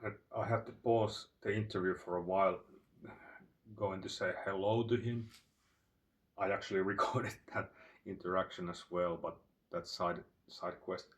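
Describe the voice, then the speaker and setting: A Finnish man with a Finnish accent, telling a long digressive story in a halting way with many fillers and restarts. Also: sits low, steady tone, far from the mic. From Finland. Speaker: channel host. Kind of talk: rambling storytelling monologue. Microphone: phone.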